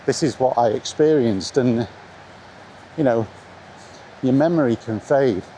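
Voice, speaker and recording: Man, middle-aged, mid-pitched voice, blunt casual vlog monologue, emphatic repetition, outdoor recording with faint ambient noise. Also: a little slow, dark tone. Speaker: video blogger talking about retro games. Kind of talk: casual rambling opinion monologue. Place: outdoors in the rain, walking.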